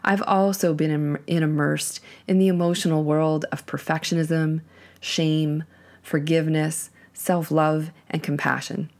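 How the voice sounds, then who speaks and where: A woman hosting a podcast solo, speaking earnestly and deliberately in a reflective monologue, her voice lowered by a cold. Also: flat delivery, a little mellow. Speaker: podcast host.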